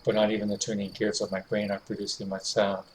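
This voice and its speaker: Low-voiced man narrating horror in flat tones. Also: slight background noise, poor audio. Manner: measured, hushed, pausing between phrases. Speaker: narrator reading a visual novel. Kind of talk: dramatic first-person horror narration.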